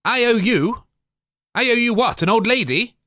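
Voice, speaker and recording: A man's voice: extremely high pitch, expressive, moderate pace, sharp tone, no echo, studio quality. A man co-hosting a comedy radio show, blunt and grumpy.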